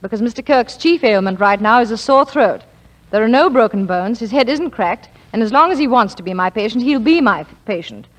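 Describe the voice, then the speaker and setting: An actress plays a brisk, authoritative lady doctor in a 1940s radio drama. Her voice is fairly high, with crisp, dry wit. A long reverb hangs over the broadcast. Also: animated, natural voice. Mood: indignant, firm. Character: brisk, cool, dryly witty lady doctor.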